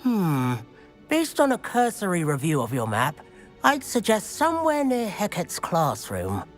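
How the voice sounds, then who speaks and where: A woman's voice: fairly low pitch, wildly swooping pitch, unhurried, faint music behind. A woman playing an eager, bookish teenage witch schoolgirl in a video game, with a British accent and a chirpy, well-spoken, inquisitive manner. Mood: friendly, eager. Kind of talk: video game cutscene acting.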